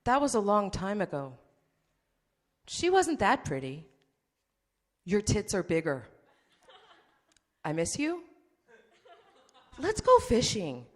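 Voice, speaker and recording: A woman's voice: mid-range voice, wildly swooping pitch, slow pace, pauses often, heavily acted. A woman voicing a crude, needy man who swings between whining pleas and snarling insults. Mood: taunting. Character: crude, needy, abusive man texting his date. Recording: long hall reverb.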